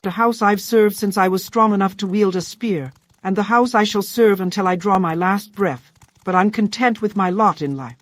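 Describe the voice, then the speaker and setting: A woman voicing a proud, brusque dark elf military commander in a fantasy game, stern, formal and impatient. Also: mid-range voice, normal speed, no room sound, lightly acted. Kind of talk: scripted fantasy game dialogue.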